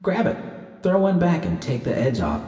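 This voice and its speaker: A man's voice: a little high, very animated, normal speed, dark tone, reverberant, in a large hall, distant mic, pitched above the performer's own voice. A man voicing a woman's warring inner voices, tempting and scolding, in terse, urgent, profane lines from an audiobook-style story. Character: woman's warring inner voices, craving against self-reproach.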